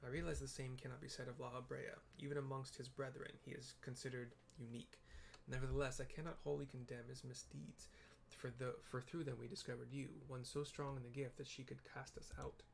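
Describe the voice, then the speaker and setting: A man's voice: medium pitch, little pitch movement, a little fast, vocal fry, few pauses, slight background noise, lightly acted. A man reading aloud a video game's solemn, cryptic emissary of an ancient god, in formal, portentous phrasing. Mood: solemn, foreboding. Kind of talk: reading aloud game dialogue. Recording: music behind the voice.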